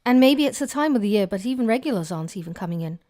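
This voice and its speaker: A woman's voice: medium pitch, lively intonation, very fast, darkish tone, lightly acted. A woman playing a put-upon, exasperated pub landlady in an audio drama, with a weary, grumbling manner. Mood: fed up.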